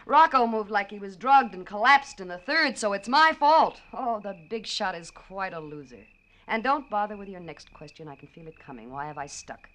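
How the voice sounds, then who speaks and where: A brassy, neglected wife played by an actress with a bright, cutting voice at middle pitch. She is sardonic and wisecracking, delivering her lines with the theatrical snap of 1940s radio drama. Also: animated, moderate pace, lightly acted, low-quality recording. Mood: bitter, sardonic.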